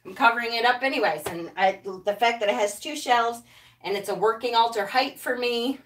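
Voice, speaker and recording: A woman chatting loudly and cheerfully with viewers of her live stream from a small room at home, standing away from the camera. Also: a little high, a little fast, slight background noise. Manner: loud, chatty, upbeat. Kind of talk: casual live-stream chat greeting viewers. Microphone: camera set a few feet away. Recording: voice a little distant from the mic.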